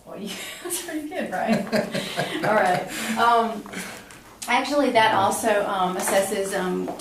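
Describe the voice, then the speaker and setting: A woman's voice: mid-range voice, slow pace. Woman examiner in a very echoey room. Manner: polite, step-by-step instructing. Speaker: clinical examiner demonstrating a physical exam. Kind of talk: instructional exam talk, explaining each step to a patient. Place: small cinder-block exam room, indoors. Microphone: camera mic from across the room. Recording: very echoey room, distant camera mic, noisy.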